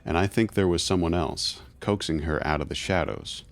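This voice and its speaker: A man playing a guarded, condescending underground fixer in an audio drama, speaking in a measured, formal way. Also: sits low, soft background music. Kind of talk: scripted audio drama acting.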